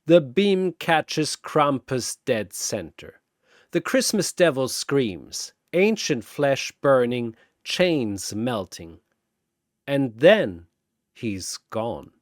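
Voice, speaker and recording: Male narrator for scripted action story: theatrical, unhurried, highly expressive intonation, close dry sound, punchy phrasing. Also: dry recording. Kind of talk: dramatic scripted battle narration.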